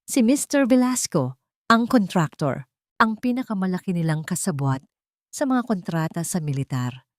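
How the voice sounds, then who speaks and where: A woman's voice: on the low side, big pitch swings, normal speed, no echo, clean studio sound. A woman giving scripted, dramatic narration of a story.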